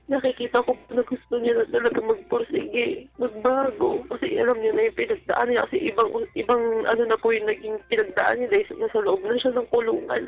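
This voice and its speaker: A woman's voice: on the high side, level tone, brisk, gravelly, few pauses. A woman with a Filipino accent calling a radio show, politely sharing a personal account in a conversational way. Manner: polite, deferential. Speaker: caller seeking advice on a radio show. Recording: dull lo-fi call audio with music. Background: music.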